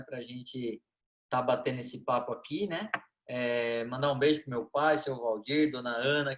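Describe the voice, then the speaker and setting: A Brazilian man in his thirties, a guest on a podcast, telling stories in a lively way, acting out dialogue and laughing often. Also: medium pitch, a little hiss or hum. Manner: animated storyteller, acts out dialogue, laughs a lot. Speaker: football coach, guest. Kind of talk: storytelling in a podcast interview.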